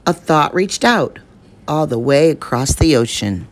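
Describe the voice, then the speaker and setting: A woman reading a children's picture book aloud, giving the rhymes a measured, expressive delivery. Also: low-pitched. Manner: measured, expressive rhyming narration. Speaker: storyteller.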